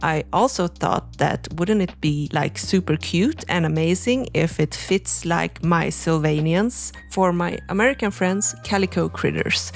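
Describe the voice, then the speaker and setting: A young woman narrates a craft tutorial at an easy, unhurried pace. Her voice sits fairly low and carries a gravelly texture, and she talks casually, with plenty of "like" and "you know." Faint music plays behind her. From Sweden. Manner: relaxed, chatty explaining with frequent "like" and "you know". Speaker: crafting channel host.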